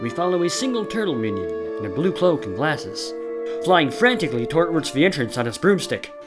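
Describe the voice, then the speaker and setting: A man narrates with theatrical flair, his high voice swinging widely in pitch. The delivery is dramatic and scripted for a comic audio play, with faint music underneath. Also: sing-song, fairly quick.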